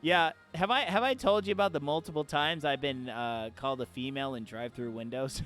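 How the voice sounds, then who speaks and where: A man in his thirties with a voice higher than most men's, chatting casually while livestreaming a game and telling stories with lots of laughter. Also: fairly quick, a bit bright, faint music behind. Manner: laughing storyteller who acts out quoted voices. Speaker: streamer.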